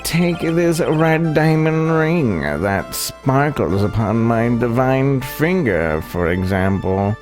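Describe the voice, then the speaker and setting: A man's voice: mid-range voice, takes it slowly, few pauses, music in the background, natural voice. A man voicing a pompous, smug detective who boasts of being a master thief in a visual novel, grandiose and condescending. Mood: smug boasting.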